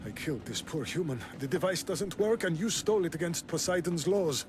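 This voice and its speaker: An actor playing an earnest, articulate, idealistic scientist prince from an ancient advanced race in a video game drama. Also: male voice, a little high, animated, brisk, background noise, natural voice. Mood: horrified. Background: music.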